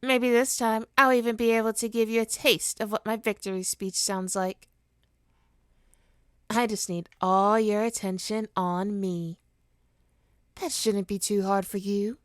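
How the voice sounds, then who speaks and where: A woman's voice: mid-range voice, level tone, a little slow, no room sound, studio quality, lightly acted. A woman playing a smug, teasing supervillain with hypnotic powers in an ASMR roleplay, taunting and theatrical in a condescending way. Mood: commanding, soothing. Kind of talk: ASMR roleplay monologue to listener.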